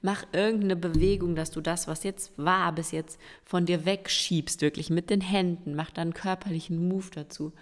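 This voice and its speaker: Hoarse, low-voiced woman podcaster. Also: fairly even intonation, moderate pace, few pauses. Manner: encouraging, direct address to listeners. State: hoarse and stuffy-nosed. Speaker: podcast host. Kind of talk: solo podcast monologue giving tips. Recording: long reverb with faint music.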